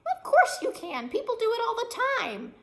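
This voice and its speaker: A woman voicing a tiny, pushy, hungry talking chipmunk in a small squeaky voice for a children's story. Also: wildly swooping pitch, normal speed, a bit bright, full character voice. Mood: insistent. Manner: insistent, exclamatory.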